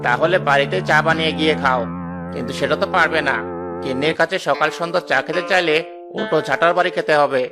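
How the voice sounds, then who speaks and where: A man's voice: on the high side, fast, natural voice. Man acting a stingy, curt village tea seller. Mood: grudging, snappy. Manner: curt, scolding. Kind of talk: cartoon dub. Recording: music behind the voice.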